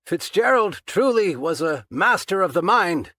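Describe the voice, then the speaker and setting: A man's voice: high-pitched, sing-song, a little slow, pushed and bright, no room sound, pitched above the performer's own voice, heavily acted. Man doing a gloating audiobook villain: raised, grand, taunting, dry studio read. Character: menacing, pompous male villain, gloating and cold.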